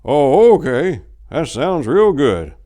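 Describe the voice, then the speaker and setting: A man's voice: fairly high pitch, very animated, slow pace, warm tone, voice pushed up. A man voicing a mild, reasonable old husband with a wavering voice in a story reading. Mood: mild, agreeable.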